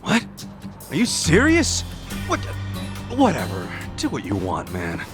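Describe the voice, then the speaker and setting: A man with an American accent playing a gruff, defensive, hot-headed yakuza ex-convict in a video game. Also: mid-range voice, huge pitch swings, measured pace. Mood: irritated. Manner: brash, defensive, slangy. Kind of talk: English video game dub acting. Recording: music behind the dialogue.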